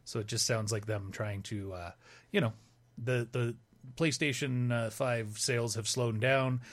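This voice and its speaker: A man appears as a guest in a radio studio and talks casually with the hosts. He keeps the conversation going and often turns it back with questions. His voice sits at an ordinary middle pitch. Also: steady tone, a little slow.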